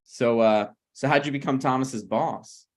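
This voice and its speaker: A man's voice: medium pitch, a little slow, natural voice. Man reading as a flippant, awkward screenwriter friend. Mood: awkward banter. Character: flippant male screenwriter, awkward, needling, self-deprecating friend. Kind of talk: conversational table read of a comedy script.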